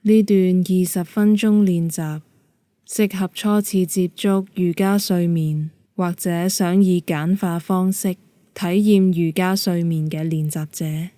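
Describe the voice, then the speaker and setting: A woman with a Hong Kong Cantonese accent leading a guided meditation in a calm, gentle, measured manner. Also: fairly low pitch, no echo. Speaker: yoga nidra meditation guide.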